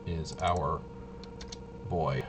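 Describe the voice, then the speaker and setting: A man hosting a tabletop game walkthrough, thinking aloud in a casual, halting way and muttering to himself. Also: a bass voice, fairly even intonation. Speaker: host of a tabletop RPG video. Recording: noisy room, clearly audible background noise.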